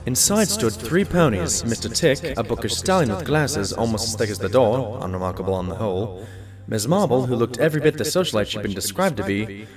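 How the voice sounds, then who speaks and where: A man voicing a genial doctor pony, a detective's companion, narrating in an expressive, wry storytelling manner. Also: fairly low pitch, fairly quick, close to an everyday voice. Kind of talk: storybook-like first-person narration in audio drama. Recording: music bed behind the voice. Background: background music.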